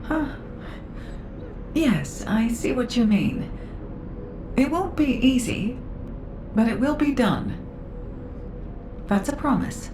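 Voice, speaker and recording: An actress playing a composed, contrite official and scientist in a video game, speaking formally and deliberately with diplomatic care. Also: female voice, fairly high pitch, big pitch swings. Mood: softened, assuring. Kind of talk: video game dramatic voice acting. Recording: echoey room, music under voice.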